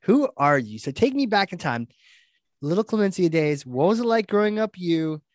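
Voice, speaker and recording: An interviewer with a mid-range voice, recorded dry and close. The host asks eager, friendly questions in a relaxed chat. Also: medium pitch, moderate pace, no room sound. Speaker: podcast host.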